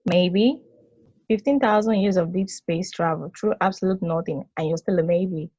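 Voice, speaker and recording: A woman's voice: lowish voice, moderate pace, dark tone, slightly rough, no echo. A woman acts out a sentient space probe with a big-sister streak. She is blunt, casual and teasing, yet protective. The sound is dry and a little dull, like a modest home microphone. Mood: teasing, exasperated. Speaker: actor in a table read. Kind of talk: play table read, conversational acting.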